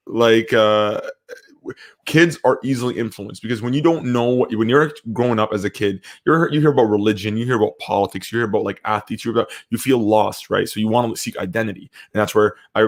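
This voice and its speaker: A man from Syria talking casually and emphatically as an opinionated podcast host, repeating phrases to drive points home. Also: fairly low pitch.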